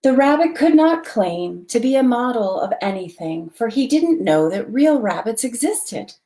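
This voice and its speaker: A woman reads a children's story aloud in an expressive, dramatic storytelling manner. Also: on the high side, moderate pace. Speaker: volunteer storytime reader, library board member.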